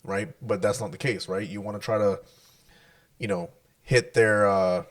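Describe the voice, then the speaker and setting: A man with a somewhat low voice co-hosting a laid-back podcast. He explains things patiently and keeps tagging his points with "right?" to check that his listener is following. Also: moderate pace. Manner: relaxed, explains with frequent "right?" tags. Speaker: podcast co-host; hospital medical worker. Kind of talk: casual podcast chat.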